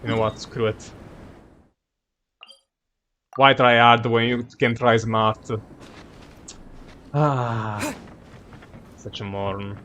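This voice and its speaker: A man livestreaming a game with casual, rambling, halting and self-mocking commentary. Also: mid-range voice, steady tone, background noise. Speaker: game streamer.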